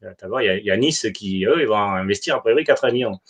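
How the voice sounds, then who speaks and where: A man debating casually as a football supporter, hesitant and halting, often repeating himself. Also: fairly low pitch, lively intonation. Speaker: football supporter in a live online fan debate.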